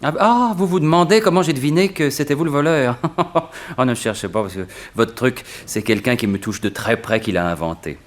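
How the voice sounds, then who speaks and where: A man playing a suave, confident gentleman thief in a 1960s French radio serial, teasing and theatrical. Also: medium pitch, normal speed, close to an everyday voice. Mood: amused, mocking.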